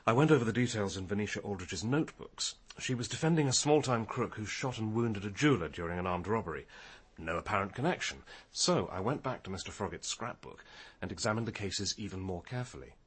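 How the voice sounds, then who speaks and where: An actor playing a thoughtful senior police detective in a radio crime drama, measured and deliberate. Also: male voice, fairly low pitch, lively intonation, breathy edge. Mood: intent, explanatory. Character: methodical, thoughtful senior police detective, male, quietly probing.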